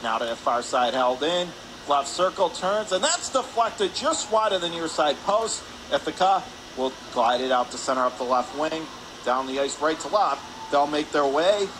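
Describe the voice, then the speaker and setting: A man's voice: a little high, animated. A man calling live radio hockey play-by-play in clipped phrases from an ice rink. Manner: clipped rapid phrases, rising on shots. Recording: clear background noise, arena ambience.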